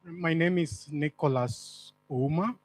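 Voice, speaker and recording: A man from Kenya introducing himself at a conference in a measured way. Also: on the high side. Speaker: conference delegate.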